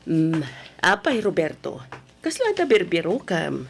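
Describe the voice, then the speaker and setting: A woman with an Ilocano Filipino accent acting a gentle, concerned middle-aged wife and mother in an old-time radio drama, soothing in manner. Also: fairly low pitch, big pitch swings, measured pace, gravelly, exaggerated voice.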